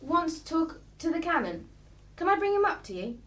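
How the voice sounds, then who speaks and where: An actress playing a timid, dutiful housemaid in a radio play, meek and hushed. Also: female voice, extremely high pitch, very animated, normal speed, full character voice. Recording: distant from microphone, hissy background noise.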